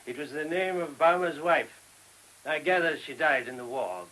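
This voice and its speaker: A man acting a gentle, precise abbot speaking reflectively in a radio play. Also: fairly high pitch, expressive, normal speed, a little hiss or hum, rough recording. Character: gentle, grave male abbot of a monastery.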